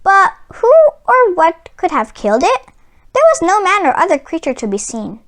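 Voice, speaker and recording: A woman reading a children's story aloud as a host, in an expressive, dramatic way, drawing out words for emphasis. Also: very high voice, moderate pace.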